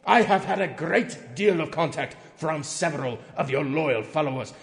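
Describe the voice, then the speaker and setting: A man's voice: on the high side, expressive, moderate pace, gravelly. A man voicing a pompous, outraged company executive in a theatrical dramatic reading of threatening emails. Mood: solemn formality. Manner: pompous, overwrought, swinging from hushed to shouting. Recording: long echoing hall reverb.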